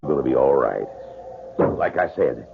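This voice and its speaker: Callous soldier, very low, lively drawl, radio drama. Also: male voice, normal speed, vocal fry, heavily acted. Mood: callous relish. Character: gruff older soldier relishing a hanging, folksy and callous. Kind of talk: old-time radio drama acting. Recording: tinny, noisy old radio broadcast.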